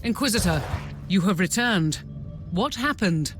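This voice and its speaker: Earnest sci-fi game heroine. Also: female voice, medium pitch, animated, measured pace, fairly bright tone, faint music behind. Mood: concerned, formal. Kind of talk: dramatic video game voice acting.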